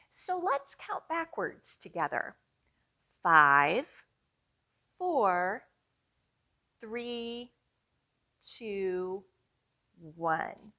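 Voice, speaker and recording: A woman leading a storytime for young children, reading expressively and guiding them along in an encouraging, teacherly way. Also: a little high. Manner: slow, expressive, encouraging, with clear teacherly guidance. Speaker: children's librarian hosting storytime.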